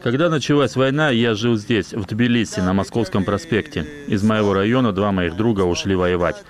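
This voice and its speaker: Low-voiced man reading a news voice-over. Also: normal speed, a crowd in the background. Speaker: voice-over translator.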